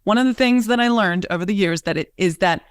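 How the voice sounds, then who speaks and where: A woman's voice: mid-range voice, fast talker, clear, resonant tone, no echo, studio quality. A woman hosting a conversational interview as a coach, chatty and full of fillers as she asks her questions. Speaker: host and coach.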